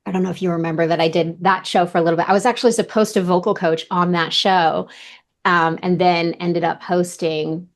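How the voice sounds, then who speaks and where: Chatty woman with a gravelly low voice. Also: steady tone, a little fast. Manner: chatty, lots of "like" and repeated words. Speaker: vocal coach, interview guest. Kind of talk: casual interview chat.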